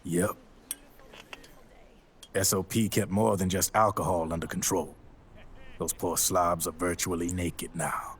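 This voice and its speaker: An actor playing a slick, jaded gun launderer in a video game cutscene, with slangy, folksy showmanship. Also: male voice, deep voice, steady tone, measured pace, pauses often, breathy edge, exaggerated voice. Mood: relaxed, breezy. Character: slick, jaded male gun launderer, former child soldier. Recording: loud music under the voice.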